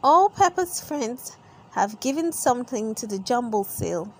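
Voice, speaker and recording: A woman hosting and narrating a children's storybook in an expressive read-aloud style. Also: a little high, moderate pace, rough recording. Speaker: storybook reader and channel host.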